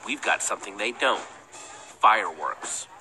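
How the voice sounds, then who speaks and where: Plucky young male game hero, cocky and encouraging, very bright, animated pitch, faint noise behind. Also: unhurried, soft background music, full character voice. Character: plucky young male underdog team leader. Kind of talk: animated video game voice acting.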